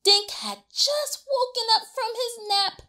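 A woman's voice: extremely high pitch, unhurried, bright, forceful tone. A young adult woman reading a storybook aloud to young children in a theatrical, exaggerated way, coaxing them to join in. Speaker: children's read-aloud teacher. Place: small colorful classroom-like room, indoors.

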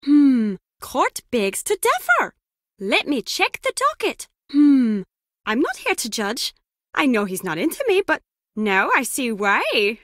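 A cheeky, nosy young woman in a video game. Her voice is high and swoops around as she teases and gushes. The sound is dry and close. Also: huge pitch swings, slow pace, fairly bright tone, no room sound, exaggerated voice. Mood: teasing, wry. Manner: playful, teasing, gushing. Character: chatty, cheeky, excitable young woman, nosy would-be friend.

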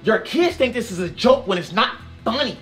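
Stern male cop played by a young man, lecturing, lively delivery, backyard skit, faint music behind. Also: sits high, moderate pace. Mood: stern, annoyed. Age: young adult. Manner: stern, lecturing, repetitive commands. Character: stern male police officer scolding mischievous kids. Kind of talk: family skit acting. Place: outdoors in a backyard.

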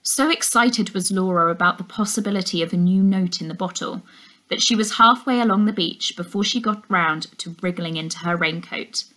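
A woman's voice: on the low side, moderate pace, a bit bright, low-quality recording. Young woman reading a story. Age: young adult. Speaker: teacher reading aloud. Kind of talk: expressive children's storybook narration. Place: furnished indoor room.

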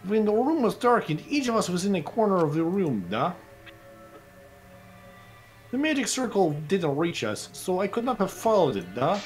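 A man voicing a creepy, smug, eloquent young man in a game fan dub, formal and deliberate with a condescending manner. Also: sits high, sing-song, soft background music. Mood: smug, probing.

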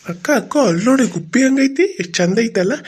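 A man's voice: extremely high pitch, breathy edge. Man playing a fed-up North Karnataka uncle. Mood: weary fretting. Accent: North Karnataka Kannada. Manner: fretful, fast, fed-up. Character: weary village uncle and husband.

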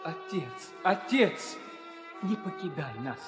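Fervent young warrior prince. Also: male voice, fairly high pitch, animated, slow pace. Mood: pleading. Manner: declamatory, fervent. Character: young warrior prince, noble, devoted, vengeful son. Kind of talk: 1960s Soviet theatrical audio drama acting. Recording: old reverberant studio recording with music.

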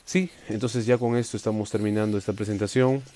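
Male streamer, low mellow voice, chatty collector host, faint room hiss. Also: fairly even intonation, brisk. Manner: upbeat, chatty, reads viewer comments aloud. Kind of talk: live unboxing stream. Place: indoors at a table.

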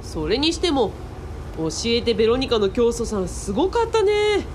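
A woman's voice: a little high, big pitch swings, normal speed, slight background noise. A woman playing a cheeky, chatty young girl who gossips casually in a radio drama.

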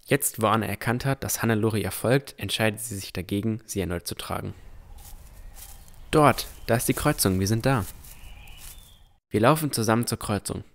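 Ein Mann spielt einen vorsichtigen jungen Entdecker, der sein Fantasy-Abenteuer bedacht und ernsthaft in der Ich-Form erzählt. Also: male voice, on the low side, normal speed, gravelly, pauses often. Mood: calm narrating. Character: cautious, thoughtful young male explorer narrating his adventure. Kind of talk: first-person scripted narration in fantasy audio drama.

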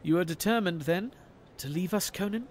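A man's voice: high-pitched, normal speed. A man playing a thoughtful, courteous and wry nobleman in a fantasy audiodrama, speaking in formal phrases. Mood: questioning.

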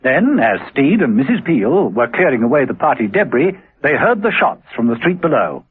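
Male narrator, dramatic radio serial, lively intonation, thin vintage radio sound. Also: mid-range voice, moderate pace, husky. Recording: lo-fi old radio recording.

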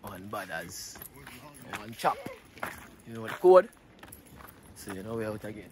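A man's voice: lowish voice, big pitch swings, unhurried, darkish tone, slight background noise. A young Jamaican man chatting in laid-back patois on a roadside road-trip vlog, filming himself with a phone at arm's length. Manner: laid-back patois banter, laughing often. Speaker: vlogger. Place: outdoors by a roadside. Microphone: phone held at arm's length.

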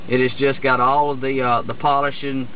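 Guy talking you through his car wash from the driver's seat, lots of uh's, kinda muffled, loud brushes and sprayers going. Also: mid-range voice, flat delivery, slight background noise. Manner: rambling, halting, with many restarts and "uh"s. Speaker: car wash owner giving a tour. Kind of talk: casual walkthrough narration from inside a car.

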